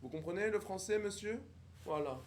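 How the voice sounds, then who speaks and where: A man playing a pompous, high-voiced snob. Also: expressive, moderate pace, slight background noise. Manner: affected formal speech, pompous and mocking. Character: haughty snobbish young posh man, condescending. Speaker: livestreamer on a video chat app. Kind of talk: improvised character roleplay with strangers.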